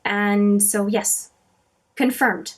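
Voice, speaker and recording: A woman's voice: mid-range voice, fairly even intonation, drawn-out words. A middle-aged woman from Canada, whose first language is French, chatting casually to camera as she answers viewer questions, with many fillers and restarts. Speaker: makeup channel host.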